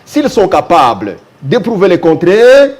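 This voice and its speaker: Guy on a tv talk show, kinda high voice but soft and warm, keeps repeating himself to make the point. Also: wildly swooping pitch. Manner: measured, insistent, repeats key phrases for emphasis. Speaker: local notable, guest.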